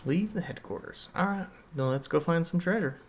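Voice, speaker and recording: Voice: medium pitch, lively intonation, moderate pace. A game streamer giving casual livestream commentary while playing.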